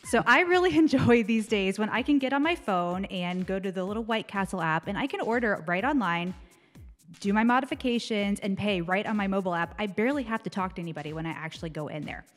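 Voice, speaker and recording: A woman's voice: medium pitch, fast talker, long reverb tail, faint music behind. A young American woman giving casual, conversational commentary as a podcast segment presenter. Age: young adult. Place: small dark indoor studio. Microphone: close microphone on desk stand.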